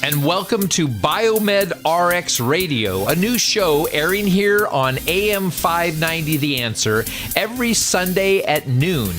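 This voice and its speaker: A male radio moderator hosts an interview with music behind him. His voice sits a bit high for a man. He poses his questions with some hesitation. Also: lively intonation, a little slow, few pauses, fairly bright tone.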